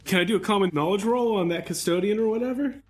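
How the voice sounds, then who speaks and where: A man's voice: high voice, quick pace, faint music behind. A man talking casually as a player in a tabletop game, describing his character's actions in the first person.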